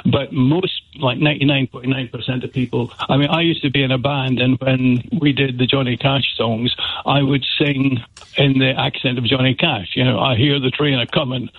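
A Scottish man from Aberdeenshire calls into a radio show and explains his point earnestly, pausing now and then. His voice comes through a tinny, narrow phone line at an everyday pitch. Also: steady tone, dry recording. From Aberdeenshire, Scotland. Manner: earnest, explanatory, a bit halting. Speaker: radio caller.

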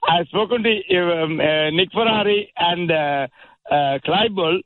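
A man's voice: high voice, measured pace, dry recording. A man in his seventies, a caller from Sri Lanka whose first language is Tamil, speaking with a Sri Lankan accent, hesitant with many fillers and small chuckles. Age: 70s. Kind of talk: phone-in call. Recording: tinny phone line.

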